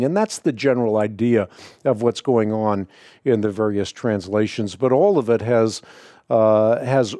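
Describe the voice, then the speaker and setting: A man hosting a call-in radio show, answering a Bible question in a measured, explanatory way. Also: mid-range voice, warm tone, no room sound, clean studio sound. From Netherlands. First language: Dutch. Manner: explanatory, measured, frequent uh fillers.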